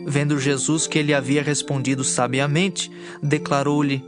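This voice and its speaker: Male narrator for audio Bible, fairly high register, scripted storytelling over a light music bed. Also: flat delivery, normal speed.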